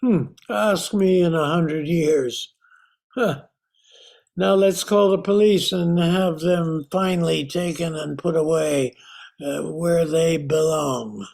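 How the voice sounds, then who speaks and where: A man playing a calm, cunning doctor in an old-time radio thriller, measured and composed. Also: on the high side, gravelly. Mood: satisfied. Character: calm, cunning doctor uncle, a scientist outwitting gangsters.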